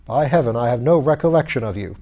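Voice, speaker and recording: Man acting a blustering, rough skeleton lord. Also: medium pitch, lively intonation, quick pace, muffled recording, natural voice. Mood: blustering, confused. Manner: blustering, exclamatory, aristocratic, old-fashioned speech. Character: pompous, irritable, hard-drinking skeleton lord. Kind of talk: audiobook character voice.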